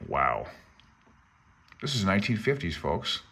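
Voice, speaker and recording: A man's voice: low-pitched, sing-song, a little slow, creaky, bright, forceful tone, a little hiss or hum. A man informally reading aloud from a handbook and commenting as a hobbyist presenter, self-deprecating and unsure as he thinks aloud. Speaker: amateur radio hobbyist presenter.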